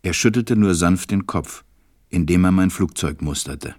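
A very low-voiced, serious pilot tells his story in a radio play. He speaks in a measured, deliberate way, like someone recalling what happened to him. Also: darkish tone, dry recording, clean studio sound. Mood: thoughtful, measured. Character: stranded adult male pilot, serious narrator recalling events. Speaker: narrator. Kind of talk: storytelling narration in an audio drama.

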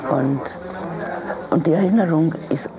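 A person speaking reflectively and haltingly, pausing and repeating words. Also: mid-range voice, highs cut off, crowd noise. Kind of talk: reflective spontaneous speech.

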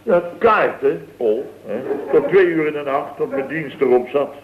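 An actor playing a boastful, easily flustered building contractor boss in a radio comedy, rambling and full of verbal tags. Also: male voice, fairly high pitch, expressive, unhurried, crowd noise. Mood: boastful, amused. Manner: rambling, peppered with "hè" and "zeg". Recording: tinny, band-limited vintage radio recording.

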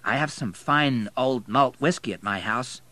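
A man's voice: mid-range voice, a little slow, sharp tone, pitched above the performer's own voice. A man voicing an earnest, anxious and loyal male friend in an audiobook. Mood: coaxing.

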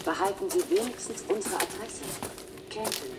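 An actress playing an automated street advertising machine in a radio play, delivering a polite, persistent sales pitch. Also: female voice, normal speed, natural voice. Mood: coaxing. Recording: distant, noisy radio drama sound.

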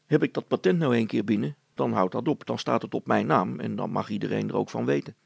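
A man's voice: mid-range voice, quick pace, creaky, slightly rough, dry recording. A man voicing a plain-spoken, worried and sceptical amateur inventor in a storybook, talking in a colloquial, grumbling way.

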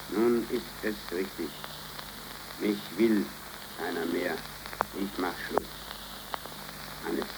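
An actor playing an earnest, troubled working-class man in a 1930s radio drama, with a halting, deliberate delivery. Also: on the low side. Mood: somber, halting. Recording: crackly, hissing 1930s radio recording.

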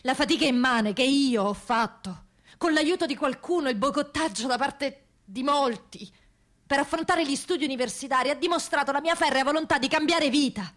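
A woman's voice: sits high, normal speed, a bit bright, voice pushed up. A woman playing a proud, relieved ex-prisoner in an emphatic, insistent theatrical monologue. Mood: proud, determined. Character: freed female ex-prisoner, proud, relieved, determined. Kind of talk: theatrical first-person monologue.

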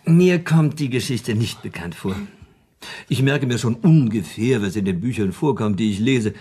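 An actor in a German radio play voicing a shrewd, haggling man, persuasive and deliberate, with a slightly husky voice. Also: medium pitch, lively intonation, warm tone. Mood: doubtful.

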